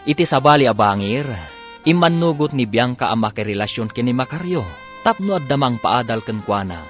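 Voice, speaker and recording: A Filipino man narrates a radio drama in a dramatic, scripted style. His mid-pitched voice moves in wide sweeps over faint music. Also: animated, fairly quick.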